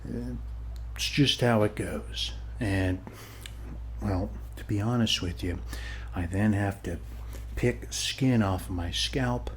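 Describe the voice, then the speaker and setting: Man acting as friendly male neighbor, ASMR roleplay, slow halting delivery, soft and half-whispered, rambling, reassuring, faint ambient noise. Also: on the low side. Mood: rambling, easygoing. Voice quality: soft, often dropping to a whisper. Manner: halting, rambling, reassuring, often ending phrases with "okay". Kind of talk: ASMR roleplay, soft-spoken one-sided conversation.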